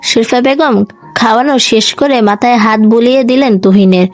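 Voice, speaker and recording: High-pitched woman narrating a story. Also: normal speed. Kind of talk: scripted dramatic novel narration. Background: music.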